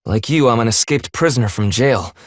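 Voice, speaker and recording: A man's voice: medium pitch, fairly quick, no room sound, clean studio sound, close to an everyday voice. A man voicing a stern, blunt young half-human leader in a video game, speaking in a clipped, formal, commanding way. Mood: reserved, curt. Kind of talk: English video game voice acting.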